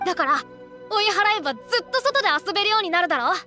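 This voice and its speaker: A woman voicing a brash, stubborn young village boy in a video game, with rough, defiant speech. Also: very high-pitched, expressive, very fast, sharp tone, full character voice. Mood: boastful. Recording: background music under the voice.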